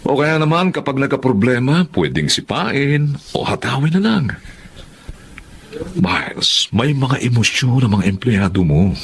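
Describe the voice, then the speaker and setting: An actor with a Filipino accent playing a caring, frank older brother who admonishes with examples and rhetorical questions in a conversational drama. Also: male voice, medium pitch, very animated, a little slow, a little hiss or hum. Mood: firm, admonishing. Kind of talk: conversational Filipino drama acting with English phrases.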